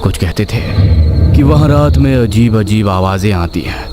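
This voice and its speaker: A man telling a scripted horror story in a dramatic, suspenseful manner with pauses for effect. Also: on the low side, expressive, very noisy.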